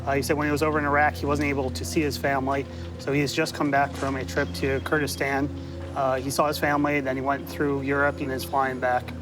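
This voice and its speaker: A man working as a border officer, brisk and businesslike as he questions and briefs. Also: medium pitch, little pitch movement. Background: background music.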